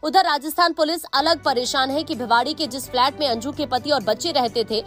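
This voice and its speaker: Woman, Indian accent, high voice, scripted news narration, background music. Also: a little fast, fairly bright tone.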